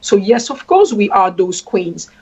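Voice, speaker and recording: A woman from Congo, a traditional queen, speaking at length in a deliberate way and repeating phrases for emphasis. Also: medium pitch, very animated, darkish tone, breathy edge. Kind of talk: spoken address. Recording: dull lo-fi sound.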